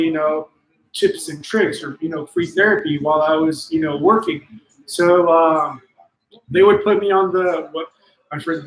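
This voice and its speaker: A fairly high-voiced man chats casually on a live stream, cheering on his viewers with motivational pep and plenty of filler ums. His voice sounds worn from talking a long while. The room around him rings with echo. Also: fairly bright tone. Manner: encouraging and motivational, with many ums. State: voice getting tired from long talking. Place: indoors at a friend's home. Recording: echoey room.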